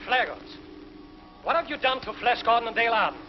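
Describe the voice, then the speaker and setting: An actor playing an anxious, stern prison warden in a 1950s TV drama, speaking urgently and formally. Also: male voice, very high-pitched, expressive, brisk, faint music behind. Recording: dull lo-fi 1950s TV soundtrack.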